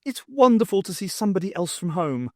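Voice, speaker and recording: A man voicing an excitable, mocking, adventurous woman in an audiobook, with theatrical exclamations. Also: high-pitched, fairly quick, dry recording, studio quality, pitched above the performer's own voice. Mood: warm, amused.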